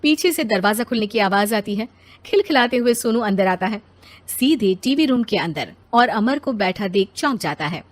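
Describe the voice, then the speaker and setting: A woman's voice: mid-range voice, expressive, normal speed. A woman narrating a scripted children's moral story.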